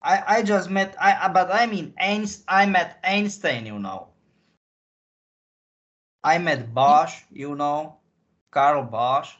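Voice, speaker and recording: A man with a Slovak accent talks slowly in a somewhat high voice, circling back over his phrases as an interview guest. He searches for words and rewords as he goes. The audio is slightly dull and lo-fi. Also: fairly bright tone. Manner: halting, repeats and reformulates phrases while searching for words. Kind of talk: rambling conversational interview answers.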